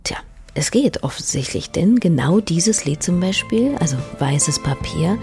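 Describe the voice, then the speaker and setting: A woman presenting a radio feature, reading her questions and links in a soft, measured, half-whispered way. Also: on the low side, animated, faint music behind. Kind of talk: scripted radio feature narration.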